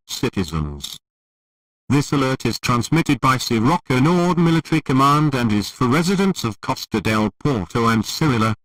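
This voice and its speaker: A man's voice: mid-range voice, no echo, recorded from a distance, rough recording. A man reading a formal scripted emergency broadcast announcement in a stiff, impersonal, official manner.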